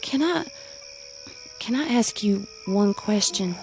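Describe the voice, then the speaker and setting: Young country bride-to-be, earnest, hesitant, slow delivery, music and crickets behind her. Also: mid-range voice, expressive, poor audio. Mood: anxious, tender. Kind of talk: radio drama acting.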